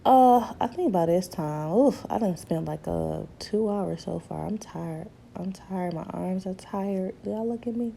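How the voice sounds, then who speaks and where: Hoarse, gravelly young woman vlogger. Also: on the low side, measured pace, hardly any pauses, a little hiss or hum. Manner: chatty, relaxed, addresses viewers as ladies and honey. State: hoarse from a cold. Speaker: beauty vlogger. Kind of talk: casual hair tutorial. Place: small bedroom at home, indoors. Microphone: camera mic, seated close in front.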